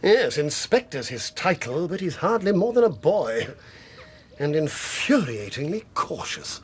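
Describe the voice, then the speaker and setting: An actor playing an irascible older English country squire in a radio drama, gruff and indignant, with a raspy, gravelly voice. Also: male voice, a little high, big pitch swings, a little slow, a little hiss or hum. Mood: blustering. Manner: gruff, indignant, old-fashioned bluster.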